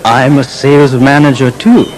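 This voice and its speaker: An actor playing a friendly sales manager in a scripted textbook dialogue, speaking clearly. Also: male voice, mid-range voice, level tone, normal speed, some chatter behind, lightly acted, rough recording. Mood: polite.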